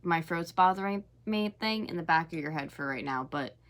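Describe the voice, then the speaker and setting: Chatty twenty-something woman doing a reaction vlog, lowish voice, lots of "like". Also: animated, moderate pace, fairly bright tone. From North America. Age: 20s. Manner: chatty and gossipy, heavy use of "like". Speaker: YouTube host reacting to clips. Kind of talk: casual reaction commentary.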